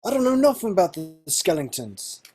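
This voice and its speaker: A man's voice: high-pitched, sing-song, brisk, darkish tone, faint music behind. A man reading a pleading part in an amateur table read over a video call.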